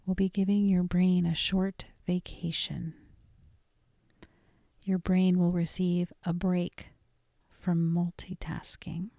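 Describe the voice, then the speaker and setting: Slow, creaky-voiced woman guiding meditation. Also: lowish voice, flat delivery, no room sound, studio quality. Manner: gentle, deliberate, with frequent pauses. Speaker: guided meditation narrator. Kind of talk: guided meditation, scripted and soothing.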